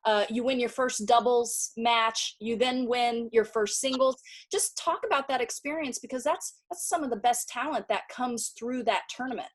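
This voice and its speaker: A woman's voice: fairly high pitch, normal speed, hardly any pauses. A woman hosting a casual interview, asking long, enthusiastic questions with a few "uh" fillers. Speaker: interviewer, video director.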